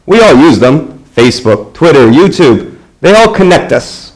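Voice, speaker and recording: A man in his thirties from the United States, narrating an English lesson in a clear, deliberate way. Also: fairly high pitch, poor audio. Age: 30s. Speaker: English teacher. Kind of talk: scripted classroom narration.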